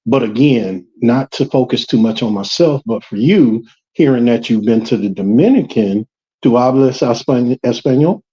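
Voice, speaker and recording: A man's voice: medium pitch, normal speed, mellow, highs cut off. Man with a light Southern accent. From Georgia, US South. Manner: rambling, hesitant, with many ums. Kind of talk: casual getting-to-know-you chat.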